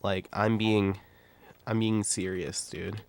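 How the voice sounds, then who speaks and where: A man's voice: lowish voice, little pitch movement, slow pace, creaky. A man in his twenties with a nasal, stuffy voice from a cold, talking bluntly and rambling in casual livestream commentary. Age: 20s. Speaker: YouTuber and streamer.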